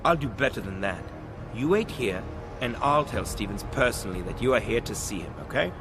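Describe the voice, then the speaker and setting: A man's voice: mid-range voice, lively intonation, brisk, lightly acted. A man playing a shifty, officious and evasive security guard in a video game. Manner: hesitant, evasive. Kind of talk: video game cutscene acting. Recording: clear urban noise behind.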